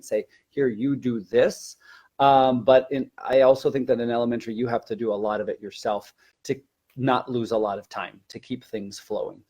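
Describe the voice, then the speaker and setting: A man's voice: mid-range voice, darkish tone. Male teacher explaining classroom techniques. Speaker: teacher trainer, elementary language teacher. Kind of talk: conversational teacher-training webinar.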